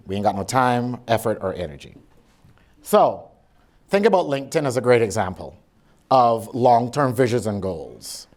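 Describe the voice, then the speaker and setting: Barbadian man, middle-aged, blunt and sassy keynote speaker, mid-pitched voice. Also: darkish tone. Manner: blunt, sassy, joking asides. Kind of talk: lively conference keynote with audience interaction.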